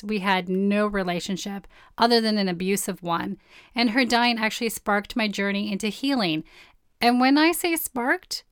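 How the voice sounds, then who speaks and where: A woman in her forties with a hoarse, partly lost voice, hosting a personal storytelling podcast in a candid, blunt way. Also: mid-range voice, a bit bright, no echo, studio quality. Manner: candid, blunt, swears casually. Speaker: podcast host.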